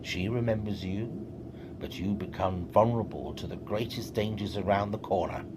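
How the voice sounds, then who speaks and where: An actor playing a solemn, mysterious celestial guide in an audio drama, hushed, breathy and portentous. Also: male voice, lowish voice, moderate pace, background noise, lightly acted. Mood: grave.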